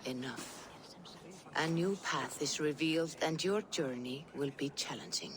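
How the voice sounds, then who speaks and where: A woman acting a solemn, mystical Norse seeress in a game cutscene, hushed and cryptic. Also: deep voice, measured pace. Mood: solemn, foretelling.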